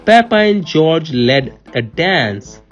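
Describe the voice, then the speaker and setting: A woman reading a children's picture book aloud in an expressive, playful, teacherly way, pausing to put questions to a child. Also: low voice, muffled recording, faint music behind. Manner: expressive, playful, teacherly, repeats answers and prompts. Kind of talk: animated read-aloud storytelling with questions to a child.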